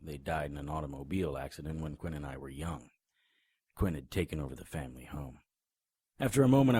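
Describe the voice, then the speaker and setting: A very crisp, low-pitched male voice for a hard-boiled noir monster hunter in an audio drama. He is brooding and world-weary, telling his story in deliberate, clipped phrases. The sound is dry and close. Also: a little mellow, no echo.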